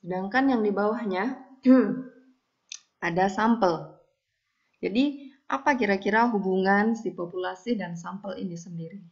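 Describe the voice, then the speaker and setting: A woman's voice: medium pitch, a little slow, long pauses. A woman explaining a lesson as a school teacher, in a didactic way with frequent little check-in tags. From Indonesia. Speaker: high school math teacher. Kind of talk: recorded statistics lesson, explanatory. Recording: dull, lo-fi audio.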